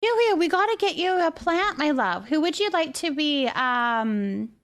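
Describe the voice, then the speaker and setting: Female livestreamer, Canadian accent, higher-pitched, unhurried delivery, effusive and affectionate in casual chat with viewers. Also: sing-song. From British Columbia, Canada. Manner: effusive, affectionate, repeating thanks and words for emphasis.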